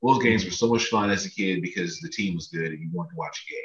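A middle-aged man with a fairly deep voice talks as a guest on a casual sports podcast. He leans on words and repeats them for emphasis. The sound is dull and echoey, as if he sits back from the microphone. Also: quick pace, a bit bright. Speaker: podcast guest, basketball association president. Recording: muffled, roomy, distant mic.

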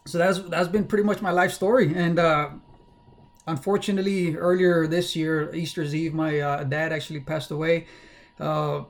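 A young man from South Texas talks candidly in a casual livestream monologue, halting often with fillers and restarts. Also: fairly high pitch, steady tone. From South Texas, USA. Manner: candid, personal. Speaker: livestream host.

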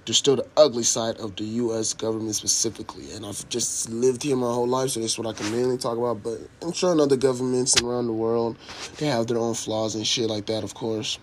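A man's voice: mid-range voice, fairly even intonation, brisk, noisy background. A young man from Africa, a native speaker of an African language, talking casually and alone as a podcast host in a rambling, slangy, profane way. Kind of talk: casual solo podcast monologue.

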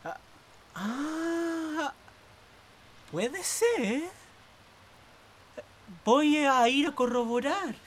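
A woman playing an excitable, fearful housemaid from the south in a radio play, with a Chilean accent and a breathless, deferential, rambling delivery. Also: sits high, extremely slow, pauses often, slight background noise, exaggerated voice. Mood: confused, anxious. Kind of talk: Spanish-language radio theatre acting.

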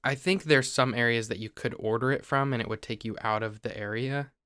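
A young man from Ohio, host of a podcast, explaining things in a relaxed, casual way with small restarts. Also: medium pitch, a little fast, clean tone, no room sound, studio quality. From Ohio, USA.

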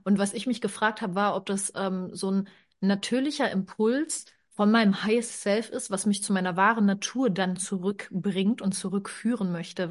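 A woman speaking as a podcast guest in a casual conversation, explaining thoughtfully with many fillers and restarts. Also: medium pitch.

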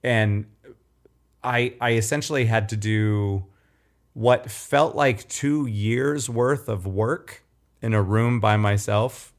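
A man with a nasal, throaty voice and a fairly low pitch talks in loose, rambling podcast chat. He often restarts his sentences and pokes fun at himself. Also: takes it slowly. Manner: self-deprecating, rambling with restarts. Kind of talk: casual chat.

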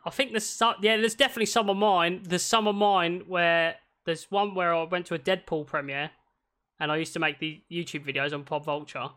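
Man, podcast host, high voice, rambling casual chat, swears a lot. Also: fairly even intonation, a little fast, sharp tone. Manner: rambling, restarts, swears and laughs often. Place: at home, recording over a video call.

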